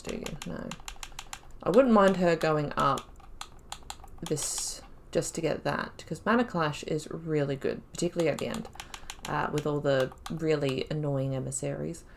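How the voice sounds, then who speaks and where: A woman giving casual commentary while playing a game, thinking aloud and breaking into excited exclamations. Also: lowish voice, creaky, slight background noise. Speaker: let's play host.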